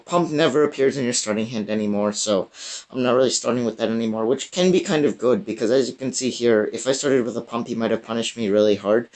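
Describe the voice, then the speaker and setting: A young man with a raspy, strained voice, giving casual gameplay commentary full of fillers and restarts. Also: lowish voice, darkish tone. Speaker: gaming YouTuber.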